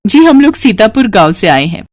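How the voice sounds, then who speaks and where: A woman's voice: a little high, sing-song, moderate pace, dry recording, studio quality. A woman voicing a humble young village woman in a moral story, meek, respectful and hesitant. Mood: polite, meek.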